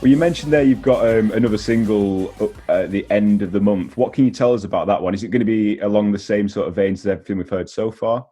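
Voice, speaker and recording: A man's voice: lowish voice, quick pace, hardly any pauses, dark tone, slightly rough, soft background music. A man hosting a casual interview. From north-west England. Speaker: radio show host interviewing a band.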